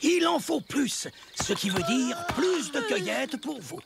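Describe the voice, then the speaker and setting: A man playing a solemn, commanding village elder in a cartoon, declaiming ceremonially in a gravelly voice. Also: very high-pitched, big pitch swings, unhurried, full character voice. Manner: pompous, ceremonial. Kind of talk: French cartoon dubbing, declamatory. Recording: loud music under the voice.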